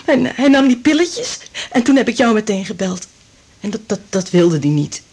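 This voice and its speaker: Defiant girlfriend, radio drama: upset, stubborn woman, raspy edge, slightly high pitch. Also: big pitch swings, normal speed, darkish tone, heavily acted. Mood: shaken. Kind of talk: naturalistic radio drama acting.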